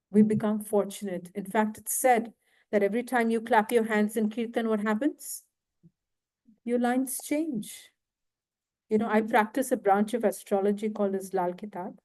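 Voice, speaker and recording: A woman giving a devotional lecture to a temple audience, slow and often asking questions. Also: medium pitch, mellow, poor audio. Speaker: guest lecturer. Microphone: handheld mic, failing at times.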